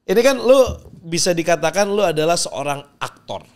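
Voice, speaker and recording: A man's voice: fairly high pitch, animated, normal speed. A man from Java who hosts a podcast, chatting casually and teasing his guest in a playful way. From Java, Indonesia. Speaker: podcast host. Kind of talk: casual interview chat.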